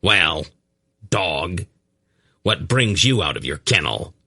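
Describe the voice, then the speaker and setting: A man's voice: deep voice, sing-song, slow pace, sharp tone, breathy edge, no echo, full character voice. Male narrator as hunchbacked fellow, mocking, pleasantly taunting, storybook fantasy, dry recording. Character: gruff hunchbacked man, pleasantly mocking his enemy.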